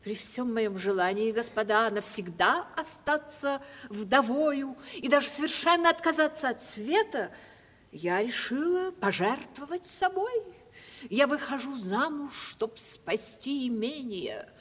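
A high, swooping grande dame. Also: female voice, expressive, unhurried, fairly bright tone. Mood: self-justifying, coy. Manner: gushing, theatrical, self-justifying. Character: affected, vain ageing widowed landowner lady playing the victim. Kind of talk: 1970s Russian stage comedy radio acting. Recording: hall-like reverberant 1970s radio drama.

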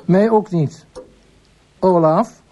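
An actor playing a guarded, formal gentleman from a well-to-do family in a radio drama, politely reserved and clipped. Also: sits high, very slow, dull audio. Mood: guarded, curt.

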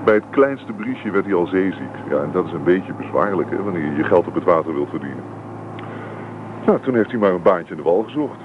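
An actor playing an earnest, self-doubting young farmer's son in a Dutch radio play. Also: male voice, low voice, animated, fairly quick. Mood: modest, chatty. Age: young adult. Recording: tinny, noisy old radio play recording.

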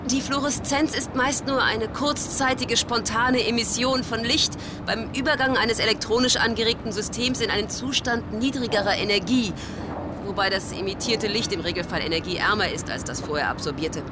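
An actress playing a dry, matter-of-fact biologist in a radio drama, explaining things technically and unruffled, as if reporting live on location. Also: female voice, a little high, moderate pace, close to an everyday voice. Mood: detached, explanatory. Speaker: scientific expert reporting live. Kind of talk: scripted radio-drama expert interview. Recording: clear outdoor city background noise.

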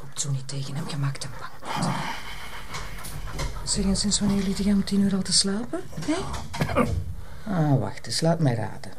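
An actress playing an exasperated but affectionate wife who nags and coaxes in a Flemish accent, in a drama. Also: female voice, lowish voice, big pitch swings, normal speed, pauses often, background noise. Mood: protective, scolding. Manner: nagging, coaxing. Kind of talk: naturalistic Flemish radio or TV drama acting.

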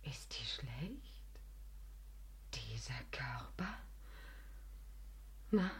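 An actress playing an aging, spurned companion in a German radio play, imploring and weary, with an emotional, halting, often hushed delivery. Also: female voice, rumbling low, very animated, slight background noise, heavily acted. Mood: coaxing.

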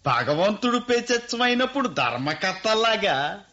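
An actor playing a shrewd, earthy middle-aged village landowner in a radio play, with colloquial coastal Andhra speech. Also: male voice, high-pitched, big pitch swings, moderate pace, sharp tone, exaggerated voice. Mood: sly, amused. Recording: dull lo-fi radio recording.

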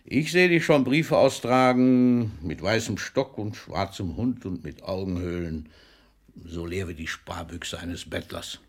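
Theatrical old poet, low voice, husky, mocking, declamatory radio play delivery. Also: male voice, unhurried. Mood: teasing, dramatic warning. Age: older. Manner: declamatory, swings between booming outbursts and whispers. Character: older famous poet, theatrical, mocking, fatherly toward a young postman. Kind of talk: German radio drama acting.

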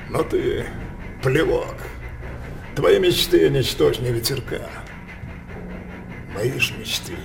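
A man's voice: mid-range voice, creaky. An actor playing a capricious, scheming tyrant king in a radio stage drama, declaiming in an imperious, mocking, theatrical manner.

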